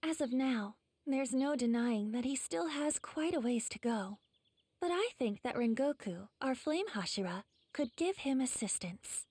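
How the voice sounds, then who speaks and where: A woman playing a gentle, polite young female demon slayer mentor in an anime game, soft-spoken with a teasing sweetness. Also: sits high, lively intonation, measured pace, slightly rough, no echo, heavily acted. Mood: earnest, respectful.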